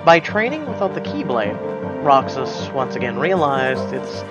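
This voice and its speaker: A man reading scripted story diary entries as a narrator, in a measured, formal manner. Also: mid-range voice, very animated, low-quality recording. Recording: loud music behind.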